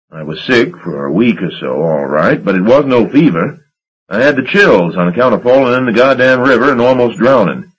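A man voicing a gruff, hot-tempered steamboat captain who blusters and curses. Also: lowish voice. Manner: blustering, demanding, cursing. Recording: dull lo-fi recording.

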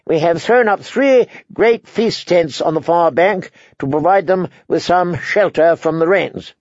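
A man's voice: fairly high pitch, a little slow, darkish tone, no room sound, highs cut off, pitched above the performer's own voice. A man voicing a courteous, ingratiating noble envoy in an audiobook. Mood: obliging, smooth. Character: courteous young male noble envoy, smoothly obliging.

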